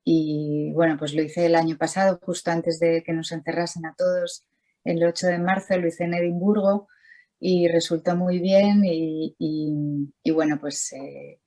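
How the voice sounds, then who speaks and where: A woman's voice: on the low side, level tone, measured pace, dark tone. A middle-aged Spanish-speaking woman, an artist talking casually over a video call, rambling with many restarts and fillers. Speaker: artist, interview guest.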